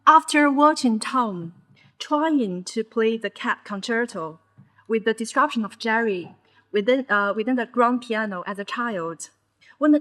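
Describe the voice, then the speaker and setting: A woman in her thirties from mainland China, a Chinese speaker with a Chinese accent touched by Scouse, giving a conference talk in measured short phrases. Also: fairly high pitch, a bit bright. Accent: Chinese with a Liverpool (Scouse) tinge. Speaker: conference presenter. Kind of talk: personal storytelling talk.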